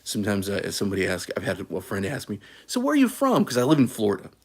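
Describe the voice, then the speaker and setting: Man, Californian, casual coffee vlog host, folksy, low voice, gravelly. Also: huge pitch swings, quick pace. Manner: easygoing, folksy, says y'all, lots of fillers and restarts. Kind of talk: casual live stream chat.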